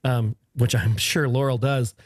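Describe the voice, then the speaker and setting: A man's voice: medium pitch, fairly even intonation, a little slow, no room sound, clean studio sound. A man co-hosting a casual podcast, with a jokey, playful manner of banter. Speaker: podcast co-host. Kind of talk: casual chat.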